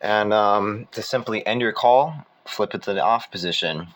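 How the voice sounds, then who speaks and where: Man, low pitch, casual hands-on demonstration, informal self-correcting delivery, clear ambient noise behind. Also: moderate pace, a bit bright. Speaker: hobbyist presenter demonstrating equipment.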